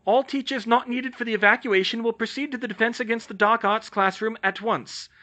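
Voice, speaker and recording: A man's voice: high-pitched, sing-song, normal speed, pushed and bright, voice pushed up, heavily acted. A man reading aloud and voicing a stern, authoritative older headmistress. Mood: announcing.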